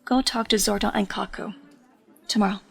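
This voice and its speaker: A woman playing a grieving, earnest young superhero in an audio drama, with a breathy voice. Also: mid-range voice, fairly even intonation, a little fast. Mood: wary.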